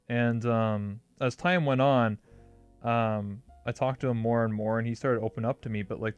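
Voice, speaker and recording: Young man, smooth low voice, rambling. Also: highs cut off. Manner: rambling storyteller, heavy use of "like". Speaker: interview host. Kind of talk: casual chat.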